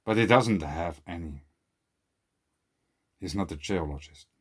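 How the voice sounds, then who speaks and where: A man whose first language is German, speaking English in an earnest, questioning monologue to camera, often trailing off quietly. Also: deep voice, a little slow, breathy edge. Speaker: video creator. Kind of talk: casual monologue to camera, reading out an email.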